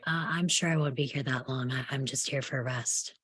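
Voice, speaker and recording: A woman playing a reserved young psychiatric patient in a screenplay table read, speaking quietly and hesitantly with a husky voice. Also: rumbling low, steady tone, a little fast, no room sound, heavily acted. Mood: quiet, guarded.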